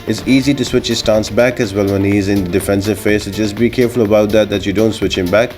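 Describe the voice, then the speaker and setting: A man's voice: lowish voice, fairly even intonation, fast talker, darkish tone, faint music behind. A man giving a casual, step-by-step video game guide as the host of a gaming channel. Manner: instructional, step-by-step explaining.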